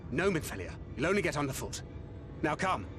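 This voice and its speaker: An actor playing a serious, guarded, protective adventurer in a video game, with a terse, dry manner. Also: male voice, fairly high pitch, big pitch swings, a little fast, noisy background. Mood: firm. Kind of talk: video game cutscene acting.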